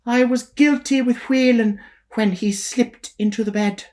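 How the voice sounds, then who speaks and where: A man's voice: very high voice, steady tone, a little slow, darkish tone, voice pushed up. A man voicing a tipsy young woman sheepishly confessing.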